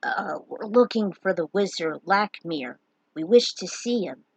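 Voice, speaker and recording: An earnest, road-weary fantasy hero voiced by a woman reading a story. The voice is lifted a little from plain talk and slightly husky. The recording is dry and close. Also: on the low side, lively intonation, measured pace, no echo, heavily acted. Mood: earnest, determined. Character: weary but determined heroes of a fantasy quest.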